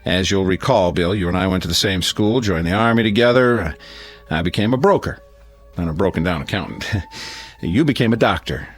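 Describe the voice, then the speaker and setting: An American actor playing a genial middle-aged neighbor giving a folksy, hesitant, sentimental toast in a radio drama. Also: male voice, low-pitched, normal speed, faint music behind, close to an everyday voice. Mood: warm sentimental. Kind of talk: radio drama acting, party speech.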